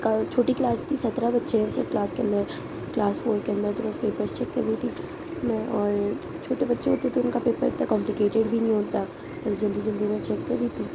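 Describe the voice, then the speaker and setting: A young woman from Karachi, Pakistan, with a hoarse, sore throat, chatting casually and rambling in a home vlog. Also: mid-range voice, flat delivery, a little fast. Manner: rambling, chatty, mixes in English. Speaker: vlogger and schoolteacher. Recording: noisy background hum.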